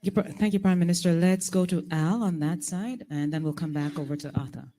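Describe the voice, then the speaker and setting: A woman's voice: lowish voice, steady tone, fairly quick, dark tone. A woman moderating a press conference, thanking speakers and calling on the next reporter in a brief, procedural way.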